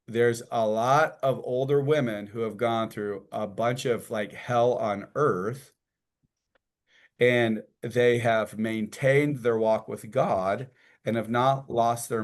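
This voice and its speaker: A man's voice: medium pitch, slow. A man teaching an informal church class, talking in a folksy, conversational way and acting out little quotes and images as he goes.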